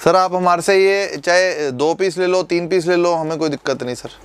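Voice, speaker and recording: A man in his thirties, a shopkeeper politely pitching perfumes and prices, calling the host sir. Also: moderate pace, a little hiss or hum. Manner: polite, calls the host sir, steady salesman. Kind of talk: sales pitch. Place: indoor perfume shop.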